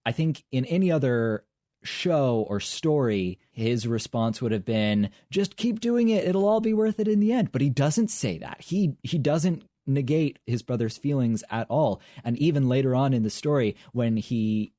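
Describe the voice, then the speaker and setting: A man's voice: medium pitch, lively intonation, moderate pace, dark tone, no room sound. A young man co-hosting a podcast, talking in a casual, articulate way with a dry wit. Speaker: podcast co-host. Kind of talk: casual review chat.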